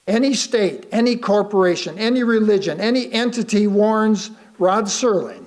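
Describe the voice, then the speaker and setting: An American man with a high voice delivers a written sermon. His pace is slow and deliberate, and he leaves pauses to let key phrases land. He puts rhetorical questions to his listeners as he reads. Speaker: lay reader delivering a sermon. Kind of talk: sermon read aloud to a congregation.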